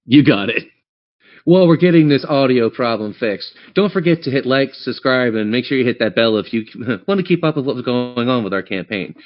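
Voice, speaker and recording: A man's voice: medium pitch, lively intonation, normal speed, muffled recording. A man running a tabletop game as game master, narrating scenes descriptively and speaking straight to his players. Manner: descriptive, addresses players directly.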